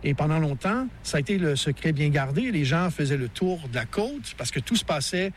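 A man from Gaspésie with a Québécois accent, explaining his national park in a relaxed radio interview, with plenty of fillers. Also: on the high side, a little fast, slight background noise. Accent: Québécois French. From Gaspésie, Québec.

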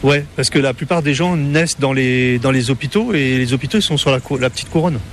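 A man's voice: mid-range voice, fairly quick, a little hiss or hum. A middle-aged man with a Parisian French accent, chatting informally as an interview guest and telling casual anecdotes. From Paris, France. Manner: informal, often ends phrases with "quoi".

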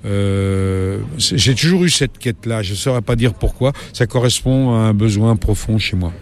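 A man in his late sixties, a travel reporter, answering interview questions in a relaxed way, pausing often with fillers and restarting his sentences. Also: on the low side. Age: 60s. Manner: hesitant, many fillers and restarts.

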